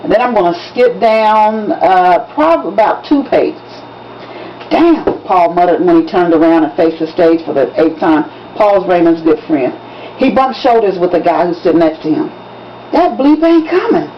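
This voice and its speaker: A woman, an author reading from a novel in an expressive, dramatic audiobook style with emphatic storytelling. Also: on the low side, normal speed. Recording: dull lo-fi recording with background noise.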